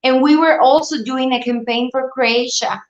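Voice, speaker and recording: A woman's voice: sits high, steady tone, normal speed. A young woman from Bolivia answering questions conversationally as an interview guest, on roomy, muffled audio. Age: young adult. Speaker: interview guest, beauty pageant titleholder.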